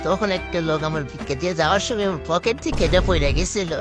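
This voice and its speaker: A woman's voice: fairly low pitch, fast, natural voice. A woman voicing a pleading, crafty old male beggar in a comic cartoon, coaxing and mixing in broken Hindi words. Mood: boastful, protesting. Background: music.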